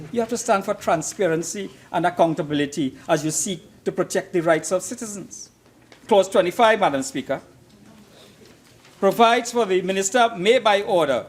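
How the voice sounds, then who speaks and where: A man speaking as a member of parliament in a formal debate, making his points emphatically and deliberately and repeating phrases for weight. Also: sits high. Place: parliament chamber.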